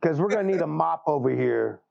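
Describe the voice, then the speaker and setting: Male gamer, high voice with heavy fry, joking melodrama, lo-fi dull recording. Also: animated, fairly quick, no echo. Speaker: player in tabletop game. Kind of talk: casual improvised role-play chat. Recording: dull, slightly lo-fi microphone.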